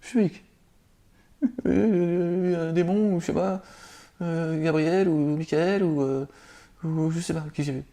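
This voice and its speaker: Brief: a man playing a terrified, stuttering man, high squeaky voice, babbling and unsure. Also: steady tone, slow, pauses often, warm tone. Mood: panicked. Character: panicked stammering frightened man.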